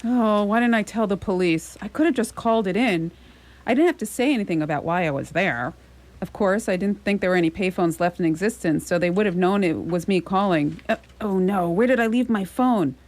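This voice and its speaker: A woman's voice: medium pitch, brisk, a little mellow, lightly acted. A woman playing a nervous, dutiful grown son in a radio play, flustered and hesitant. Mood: anxious. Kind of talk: community radio theatre acting.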